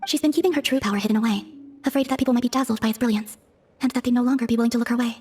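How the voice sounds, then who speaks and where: A woman playing a serene, enigmatic young moon goddess in a video game cutscene, speaking formally, softly and with detachment. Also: fairly high pitch, steady tone, soft background music, natural voice. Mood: reflective. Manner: measured formal phrasing, soft, detached.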